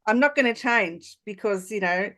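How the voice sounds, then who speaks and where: A woman's voice: mid-range voice, animated, moderate pace, fairly bright tone. A woman hosting an interview podcast, talking casually with informal, somewhat hesitant phrasing. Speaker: podcast host.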